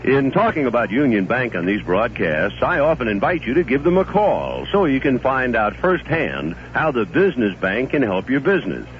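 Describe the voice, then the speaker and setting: A man delivering a radio commercial in a smooth, persuasive pitch, his medium voice moving expressively through each sentence. The sound is muffled 1970s radio with a faint hiss. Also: big pitch swings, moderate pace, hardly any pauses. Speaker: commercial announcer. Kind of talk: live-read radio ad.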